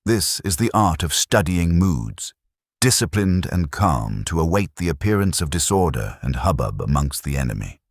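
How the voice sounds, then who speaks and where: A man reading an audiobook as scripted narration, in a measured, solemn and declarative manner. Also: extremely low pitch, big pitch swings, no room sound, clean studio sound.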